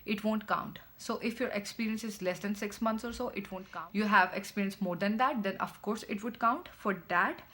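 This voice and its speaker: Young indian woman, vlogger voice, instructive, repeats herself, mid-pitched. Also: moderate pace, few pauses, a bit bright. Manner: instructive, repeats phrases, speaks in short stepwise chunks. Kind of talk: explanatory vlog talk to viewers.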